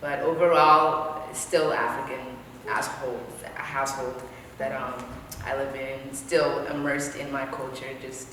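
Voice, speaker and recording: A low-pitched woman in her thirties, born in Kenya, talks casually as a guest and breaks into laughter now and then. The room is very echoey with a long reverb tail. A faint hum of ambience sits underneath. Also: flat delivery, a little slow, fairly bright tone. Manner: chatty, laughs often, trails off with fillers. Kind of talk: casual interview chat.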